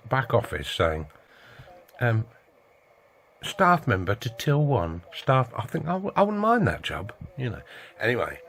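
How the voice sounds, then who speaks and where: A man's voice: fairly low pitch, vocal fry, fairly bright tone, a little hiss or hum. A middle-aged man with a British accent explaining casually in a rambling, wry way, often asking rhetorical questions. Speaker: video host, radio hobbyist.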